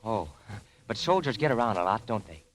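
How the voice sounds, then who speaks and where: A man's voice: fairly low pitch, sing-song, brisk. Brash, swooping teenage boy. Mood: confiding, worried. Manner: eager, cocky, slangy. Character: brash, lovesick seventeen-year-old rich boy. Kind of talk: vintage radio comedy acting. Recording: noisy vintage radio recording.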